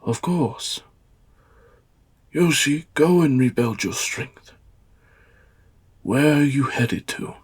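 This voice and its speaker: A man playing a stern old warrior father and master in an audio drama, speaking in a solemn, measured way. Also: mid-range voice, slightly rough. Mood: firm, protective. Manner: solemn, measured, sage-like delivery.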